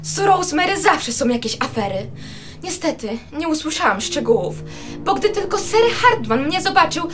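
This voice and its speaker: An actress plays a vain young female musician in a radio drama, very high and lively in pitch. She gossips spitefully with theatrical haughtiness. Music plays behind her. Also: normal speed. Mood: gossipy.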